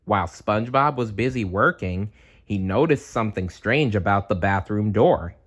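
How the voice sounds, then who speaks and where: A man reading a children's storybook aloud, expressive and chatty, with casual asides. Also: fairly low pitch, normal speed. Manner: animated, chatty.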